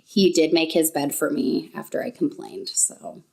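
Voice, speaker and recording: A woman from Ontario, Canada, guest co-hosting a podcast in casual chat from a bedroom, quick and teasing and often laughing. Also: low voice, lively intonation, gravelly, dark tone. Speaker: guest co-host.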